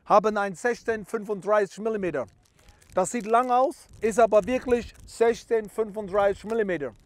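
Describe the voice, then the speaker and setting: Guy doing a high-voiced show-off bird photographer, broken german w english words, stumbles a lot, dry close sound. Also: no room sound, lightly acted. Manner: boastful, stumbling over words. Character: clumsy self-proclaimed famous bird photographer man, bragging. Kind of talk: parody how-to video.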